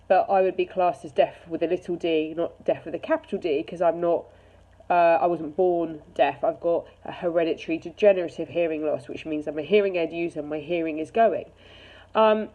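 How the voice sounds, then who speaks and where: A woman's voice: fairly low pitch, dark tone, muffled recording. A woman talking candidly and casually, giving her personal opinion in a conversational way. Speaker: charity chief executive giving an opinion. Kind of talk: casual opinion talk to camera.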